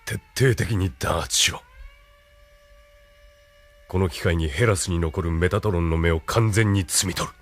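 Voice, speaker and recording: An actor playing a stern, ruthless military colonel in an audio drama, with a cold, measured, commanding delivery. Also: male voice, low-pitched. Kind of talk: Japanese audio drama acting.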